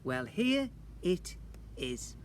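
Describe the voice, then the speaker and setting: Animated man reading a children's story outdoors. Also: sits high, very slow. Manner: playful, addresses children with questions and asides. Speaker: teacher reading to young children. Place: outdoors in a garden. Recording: faint outdoor background noise.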